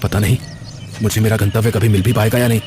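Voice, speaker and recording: A man's voice: fairly low pitch, steady tone, fast, heavy background noise, lightly acted. A man playing a poor, humble young goatherd in a cartoon story, earnest and questioning in a formal way. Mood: weary, pondering. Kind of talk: Hindi cartoon story character dialogue. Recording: music behind the voice.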